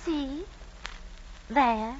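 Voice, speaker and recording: A woman's voice: high voice, extremely slow, darkish tone. An actress playing a genteel, composed lady suspect in an old-time radio drama, with a refined, imperious manner. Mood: guarded, smooth. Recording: dull, noisy vintage radio recording.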